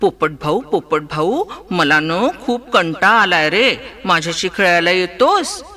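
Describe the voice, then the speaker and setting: A man's voice: high voice, lively intonation, measured pace, bright, forceful tone, exaggerated voice. A man voicing a lonely little boy who talks in a whiny, pleading way in a children's storybook.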